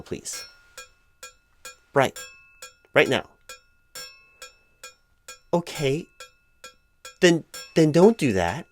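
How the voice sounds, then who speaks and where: A man acting out a flustered, officious hiring manager in a comedic one-sided audio roleplay, halting and stammering as he speaks. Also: mid-range voice, a little mellow. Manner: halting, stammering, fussy and bureaucratic. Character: flustered, exasperated, officious male hiring manager, distracted, apologetic.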